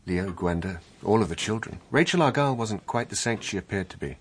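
An actor with a British accent playing an earnest, guilt-driven doctor turned investigator in a radio drama, speaking urgently and articulately. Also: male voice, fairly low pitch, animated, fairly quick, natural voice. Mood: urgent explaining. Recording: faint background noise.

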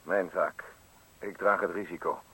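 A man's voice: low voice, fairly even intonation, measured pace, bright, forceful tone, husky, heavily acted, rough recording. An actor playing a polite, formal man on a secretive errand in a Dutch radio play. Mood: curt, guarded. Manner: overly polite, fussy, precise. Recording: hissy old radio drama recording.

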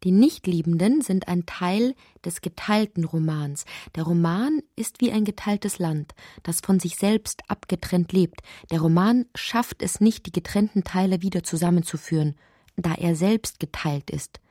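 Musing lady narrator for a poetic radio play, warm dark tone, lowish, dry studio sound. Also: measured pace, no echo. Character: musing female narrator of a poetic, absurd literary tale. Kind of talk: literary audio drama narration.

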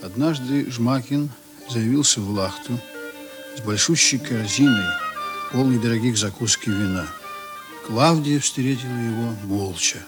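Man playing the storyteller in a radio play, mid voice, measured and probing, soft music under it. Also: mid-range voice, lightly acted. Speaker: narrator. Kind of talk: scripted literary narration in radio drama.

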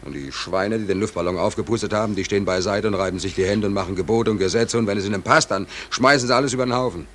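An actor playing an embittered, hungry merchant seaman in a 1940s German radio play, speaking in a halting, brooding, insistent way. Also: lowish voice, fairly bright tone, close to an everyday voice. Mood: bitter, indignant. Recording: noisy 1940s radio drama recording.

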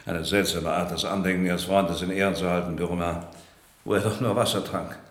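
Male senior police chief, deep voice, measured and wry, roomy radio-play sound. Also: fairly even intonation, fairly bright tone. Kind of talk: radio crime drama dialogue.

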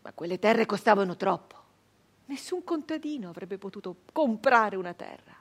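An actress playing an embittered, defiant nineteenth-century Italian woman brigand in a theatrical radio monologue, delivered dramatically and deliberately with long pauses. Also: mid-range voice, lively intonation, lightly acted. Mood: bitter. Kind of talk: theatrical radio monologue, first-person storytelling.

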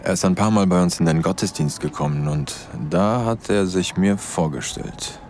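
A man's voice: low voice, normal speed, darkish tone, a little hiss or hum, natural voice. A man playing a curious, candid acquaintance in a radio drama, chatting in a casual, slightly hesitant way. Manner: hesitant, conversational.

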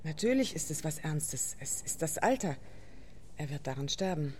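A blunt female doctor with dry wit in a radio drama. Her comes in a lowish, lively voice, over faint background ambience. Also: moderate pace, a little hiss or hum. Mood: dry, frank.

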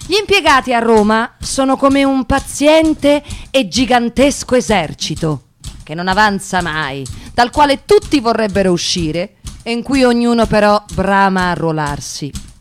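An articulate female narrator delivers theatrical, literary prose with wry irony. Her phrasing is measured, and her pitch moves in a lively, expressive way. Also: slight background noise.

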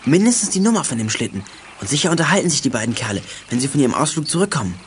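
A man's voice: a little high, very animated, rapid-fire. Cool teenage boy detective, noisy recording. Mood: brisk, in charge. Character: teenage boy amateur detective Michael, cool and self-assured. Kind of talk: German children's radio play acting.

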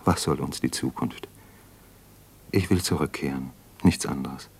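Radio play, 1950s style: young doctor-poet, deep register, earnest, homesick, introspective and intense. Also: male voice, a little slow, slightly rough, full character voice. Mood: tender, wistful. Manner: reflective, literary, intense. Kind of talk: 1950s German radio drama acting.

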